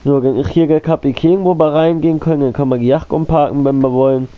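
Rambling German gamer with a cold. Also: male voice, on the high side, fairly even intonation, quick pace, phone-quality sound. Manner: rambling, repeats himself, addresses viewers as friends. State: has a cold, coughing. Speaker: gaming channel host. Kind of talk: casual gameplay commentary.

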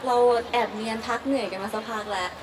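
A woman's voice: a little high, fast, noisy background. A young woman idol chatting on stage between songs and introducing the next number. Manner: bubbly emcee, introducing the next song. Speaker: pop idol member. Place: outdoor beach concert stage. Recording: distant PA, loud cheering crowd.

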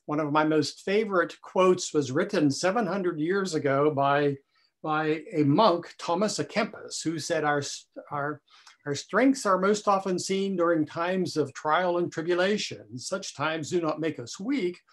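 An older man moderating over a laptop webcam from a room at home. His voice sits somewhat high for a man, and he speaks reflectively, often repeating words as he goes. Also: measured pace. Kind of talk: moderating a video conference.

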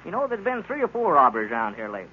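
A man's voice: fairly high pitch, huge pitch swings, rapid-fire. An actor playing an earnest, righteous small-town townsman, talkative and emphatic, in old-time radio drama. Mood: indignant. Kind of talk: 1930s-40s radio drama acting. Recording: muffled, noisy old radio recording.

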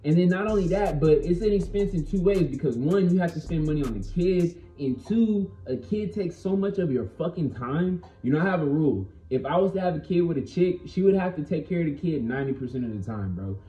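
Bright-voiced young American man, echoey room. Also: a little high, expressive, fairly quick, hardly any pauses, soft background music. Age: young adult. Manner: blunt, slangy, heavy swearing, emphatic. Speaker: video channel host. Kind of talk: casual opinion monologue to camera. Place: small bedroom with bare walls.

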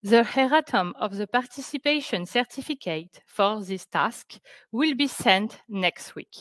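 Lady giving a research webinar, takes it slow, careful and a bit halting, no room echo. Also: medium pitch, animated, fairly bright tone. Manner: careful, halting non-native English delivery. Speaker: researcher presenting project results. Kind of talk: scientific webinar presentation. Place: indoors on a video call.

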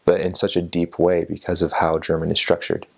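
Young man with a low, gravelly voice. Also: completely flat delivery, brisk. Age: 20s. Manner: thoughtful, with many fillers and restarts. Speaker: interviewee. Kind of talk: relaxed recollection to an off-camera interviewer. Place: indoor room by a window, seated in an armchair.

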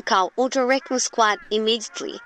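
A woman's voice: on the high side, a little fast, close to an everyday voice. A woman playing a facility narrator who reads creature case files as formal, instructional scripted narration, on noisy amateur audio.

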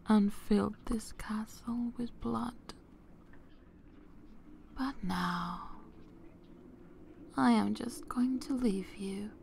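Woman playing a whispering, slow, seductive vampire mistress. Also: mid-range voice, darkish tone. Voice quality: breathy, mostly whispered. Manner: slow, deliberate, dramatic pauses. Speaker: ASMR roleplay performer. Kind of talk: whispered ASMR roleplay.

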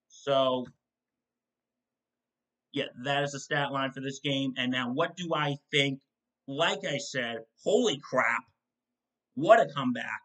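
A young man hosting a solo football postgame recap from his home kitchen, reading stat lines in quick lists and correcting himself as he goes. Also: a little high, sharp tone, low-quality recording. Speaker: sports show host. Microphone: desk mic in front of a webcam.

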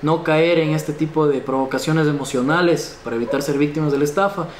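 A man's voice: a little high, fairly even intonation, a little fast. A young man in his twenties with an Ecuadorian accent gives a formal, stiff official police statement for local news. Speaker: police chief, spokesman. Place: small indoor office, seated. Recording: echoey room with background noise.

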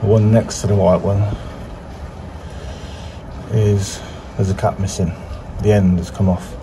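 A man's voice: sits low, flat delivery, unhurried. A man in his thirties giving casual, hands-on car repair commentary as an amateur mechanic, filmed on a phone in a garage. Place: small brick garage at an open car bonnet. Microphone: phone camera, handheld or propped nearby. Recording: noisy garage with engine running.

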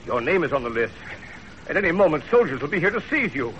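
A man's voice: on the high side, very animated, quick pace, a bit bright, muffled recording. An actor playing a stern, wealthy Chinese banker father, commanding and urgent, in a 1930s radio drama. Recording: noisy old 1930s radio recording.

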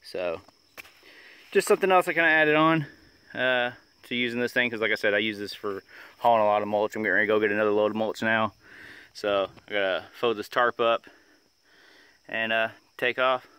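A man's voice: lowish voice, brisk, pauses often, smooth, no room sound. A man in his thirties casually talking through a how-to outdoors in a rural yard, with lots of uh fillers, recorded on a handheld phone. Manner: relaxed, rambling, many "uh" fillers. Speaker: homesteading vlogger. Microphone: phone camera held at arm's length. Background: crickets and insects.

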